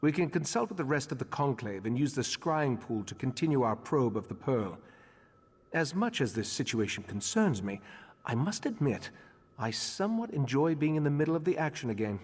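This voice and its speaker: A man playing a grave, scholarly leader of a mage order in a video game, speaking in a measured, formal way. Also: medium pitch, big pitch swings, slightly rough. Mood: grave, pondering. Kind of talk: scripted video game character dialogue. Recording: long echoing hall reverb.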